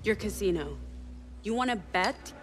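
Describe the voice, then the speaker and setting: Cocky, mid-pitched female game villain acted. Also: expressive, moderate pace, over music, close to an everyday voice. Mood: threatening. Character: cocky, threatening female villain, corrupt race fixer.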